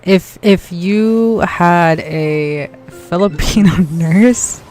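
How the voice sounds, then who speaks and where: Canadian woman streamer, casual chat, says like a lot, fairly low voice. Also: darkish tone, soft background music. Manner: chatty, lots of "like", quick laughs.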